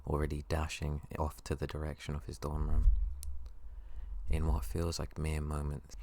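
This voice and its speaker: A young man reading scripted second-person story narration as an intimate ASMR narrator. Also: a bass voice.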